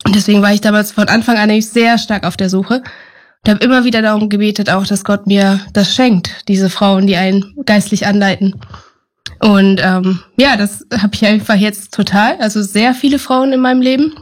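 A woman's voice: medium pitch, fairly even intonation, fairly quick. A woman talking as a podcast guest about her role on a ministry team, chatty and loose, with many fillers. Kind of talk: podcast interview.

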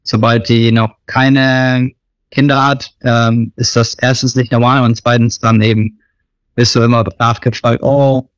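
A man in his thirties from Germany, talking casually about his missionary work in an interview, often pausing with fillers. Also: mid-range voice, little pitch movement, smooth, no room sound. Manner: hesitant, many fillers and restarts.

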